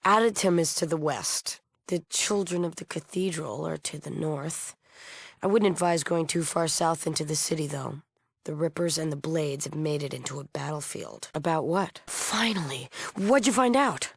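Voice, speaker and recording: An actress playing a solemn, idealistic leader of a post-apocalyptic peace movement in a video game, earnest and guarded. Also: female voice, low voice, moderate pace, gravelly, slightly rough, heavily acted. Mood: urgent. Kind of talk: acted video game dialogue.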